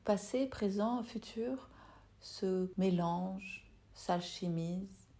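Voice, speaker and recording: A woman yoga nidra teacher leading a guided meditation in a soft, soothing, unhurried way with long pauses. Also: medium pitch.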